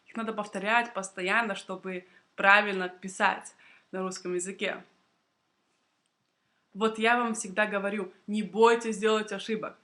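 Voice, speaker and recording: A young woman, a native Russian speaker, tells a prepared personal story to camera for language learners in a deliberate, clearly phrased, expressive way. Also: medium pitch. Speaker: Russian language teacher and video blogger.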